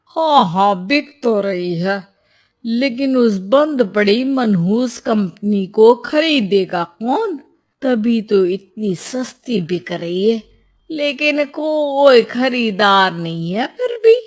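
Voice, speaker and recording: A gossiping, dismissive sewing-centre worker from an animated tale , played by a woman. She is chatty, with a raised voice. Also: a little high, slow. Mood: gossipy.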